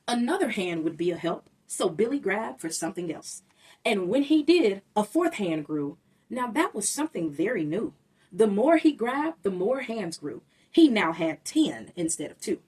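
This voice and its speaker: A woman's voice: medium pitch, big pitch swings, moderate pace, a little mellow, breathy edge, distant mic. A woman reading a rhyming children's story aloud, expressively and with dramatic emphasis. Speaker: storybook reader.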